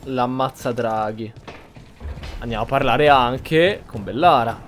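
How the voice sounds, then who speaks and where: A man's voice: medium pitch, a little slow, soft background music. A man from Italy, casually commenting on a roleplay video game as he streams. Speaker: gaming streamer.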